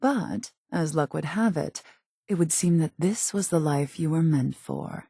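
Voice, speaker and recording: Female gang boss, dark low register, husky edge, composed, formal, calculating, quiet menace, video game character. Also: lively intonation, measured pace, no room sound, heavily acted. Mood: cool, measured. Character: cold, calculating female raider gang leader.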